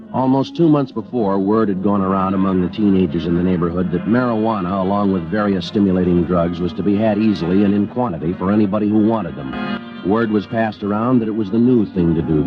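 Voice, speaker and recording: An actor playing a calm, terse police detective who narrates his case in a clipped, deadpan radio drama style. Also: deep voice, close to an everyday voice. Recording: dull old radio recording, music underneath.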